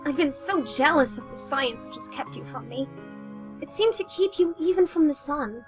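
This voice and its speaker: A woman's voice: sits high, expressive, normal speed, soft background music. A woman playing a devoted, tender young fiancee in a melodramatic old-time radio style. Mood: adoring.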